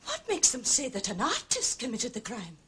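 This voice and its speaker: An actress playing an anxious, genteel widow in a period drama, halting and pleading as she confides. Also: on the high side, wildly swooping pitch, a bit bright, slightly rough, heavily acted. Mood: anxious, polite. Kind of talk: British television period drama acting.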